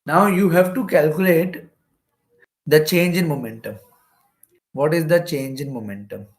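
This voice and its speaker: A man's voice: on the high side, unhurried. A man with an Indian accent teaching step by step as a lecturer, often repeating phrases and checking in with "okay". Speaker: physics teacher. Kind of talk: explanatory exam-prep lecture working through problems step by step. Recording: roomy echo.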